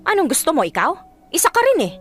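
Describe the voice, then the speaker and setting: A fed-up married woman with a Filipino accent in a radio drama. She fires off sarcastic accusations at a fast clip, her highish pitch leaping about. Faint music plays underneath. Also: wildly swooping pitch, full character voice. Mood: scolding. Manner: sarcastic, scolding, confrontational. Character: fed-up, sharp-tongued married sister-in-law, resentful and accusing. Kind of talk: Tagalog radio drama acting.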